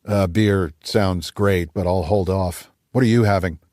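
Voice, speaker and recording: A composed undercover agent , read by a male narrator. He is courteous and easygoing, in a fairly low voice with a dry sound, as in a thriller audiobook. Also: fairly even intonation, measured pace, a little mellow, dry recording.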